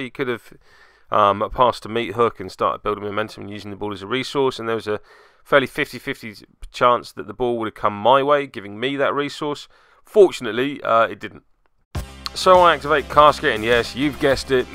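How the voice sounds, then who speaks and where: A man's voice: mid-range voice, pushed and bright, no echo. A man hosting a tabletop game web series, giving chatty voice-over commentary with wry, cheeky asides. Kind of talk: casual scripted-feel commentary over game footage.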